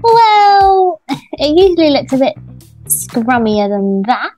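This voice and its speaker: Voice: high voice, very animated, slow pace, mellow, faint music behind, exaggerated voice, poor audio. A performer voicing a cheeky, dramatic toddler girl who pretends to be a cat, whiny and sassy, in a game roleplay.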